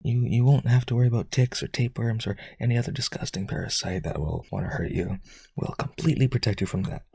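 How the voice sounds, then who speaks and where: A man playing a lonely vampire aristocrat in a romantic audio roleplay, pleading earnestly and haltingly in a breathy, husky voice. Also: warm tone, dry recording, studio quality. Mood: earnest persuading. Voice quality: husky, breathy low voice, often near whisper.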